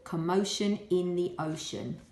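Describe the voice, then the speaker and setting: A woman reading a children's picture book aloud as a school storyteller, expressive and addressing young children. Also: on the low side, a little slow, reverberant, slight background noise.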